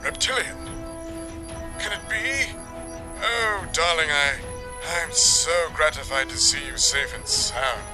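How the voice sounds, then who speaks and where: Courtly, earnest spacefaring hero voiced by a man, formal and theatrical, lightly raised pitch, music behind, for space-opera parody. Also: slow, pauses often. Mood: tender. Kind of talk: melodramatic space opera audio comedy.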